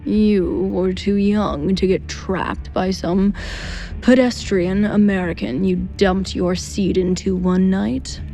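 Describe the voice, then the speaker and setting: A woman narrator plays an older king, haughty and dismissive. The speech is slow and cold, audio drama style, with faint music behind. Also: mid-range voice, fairly even intonation, gravelly, mellow. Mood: cavalier.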